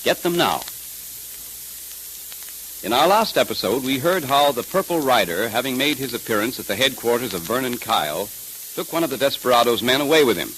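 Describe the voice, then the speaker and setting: A man's voice: medium pitch, animated, brisk, a bit bright, low-quality recording. An American man reading scripted ads and story narration as an emphatic, dramatic 1930s radio announcer. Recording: hissy 1930s radio transcription.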